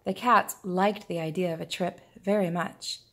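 A woman reading a children's picture book aloud in a deliberate, expressive storytelling manner. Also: fairly low pitch. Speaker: preschool teacher reading aloud.

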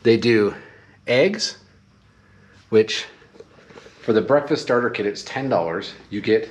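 A man's voice: on the low side, slow pace, husky. Chatty man vlogging to a handheld camera. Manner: relaxed, chatty, self-correcting. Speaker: travel vlogger. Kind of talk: casual vlog talk to camera. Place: outdoors on a farm and inside a trailer. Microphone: handheld action camera at arm's length.

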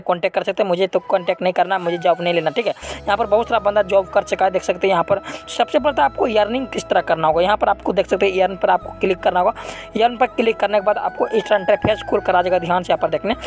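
A man hosting an unscripted app tutorial, repeating himself and often adding check-in tags. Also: high-pitched. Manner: repetitive, with frequent check-in tags. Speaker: app tutorial video host. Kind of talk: unscripted screen-recording tutorial.

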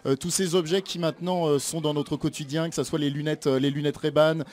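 A man's voice: fairly high pitch, steady tone, brisk, darkish tone. A man presenting a radio chronicle in a chatty, playful way. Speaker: radio columnist.

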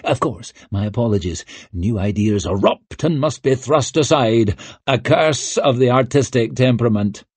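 A man's voice: medium pitch, animated, normal speed, a little mellow, husky, no room sound. A man playing a pompous fawning writer. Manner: flowery, grandiose, fawning. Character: pompous, flattering male writer, vain showman.

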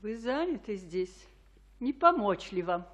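A woman's voice: medium pitch, very animated, unhurried, fairly bright tone. An actress playing a composed noble mother in a radio play. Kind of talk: Russian radio play acting.

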